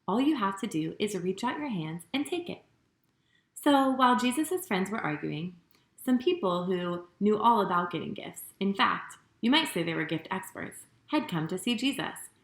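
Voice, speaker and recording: A woman pastor hosting storytime for young children, talking and reading aloud in an animated, sing-song manner straight to her listeners. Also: mid-range voice, moderate pace, roomy sound.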